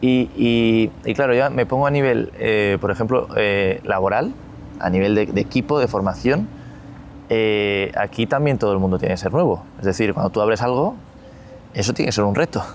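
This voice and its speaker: A man's voice: lowish voice, moderate pace, slight background noise. A man hosting a business interview, circling through restarts and asides before landing his questions. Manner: rambling, stacks restarts before reaching the question. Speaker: podcast host, interviewer.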